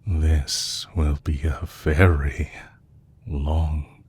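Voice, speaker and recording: A man playing a composed, courteous villain leader who is possessive and lovestruck, speaking formally and deliberately in an intimate romantic audio roleplay. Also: extremely low pitch, flat delivery, darkish tone, slight background noise, full character voice. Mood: businesslike, teasing. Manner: formal, deliberate, courtly phrasing with long pauses.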